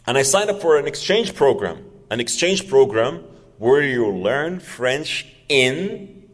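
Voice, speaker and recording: A man's voice: medium pitch, very animated, takes it slowly. Guy in his 40s giving a heartfelt talk, repeats stuff for emphasis, big echoey hall. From Saudi Arabia. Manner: earnest, emphatic, repeats words for stress. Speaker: public speaker. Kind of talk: personal story talk to an audience.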